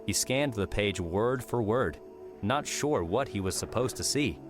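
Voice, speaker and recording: A man's voice: lowish voice, expressive, measured pace. A man narrating a fiction audiobook, reading scripted story prose. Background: music.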